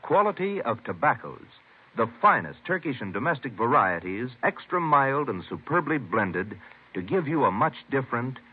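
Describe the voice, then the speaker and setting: A man's voice: medium pitch, very animated, moderate pace, slightly rough. A man announcing a 1950s radio advertisement in an emphatic salesman's manner. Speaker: commercial announcer. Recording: narrow old radio broadcast recording.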